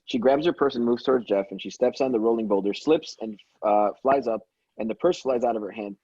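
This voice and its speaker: Husky man narrating script directions. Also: medium pitch, brisk, mellow, dry recording. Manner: stumbles over words and corrects himself. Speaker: narrator reading stage directions. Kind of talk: amateur table read, plain script narration. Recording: dull, dry lo-fi microphone.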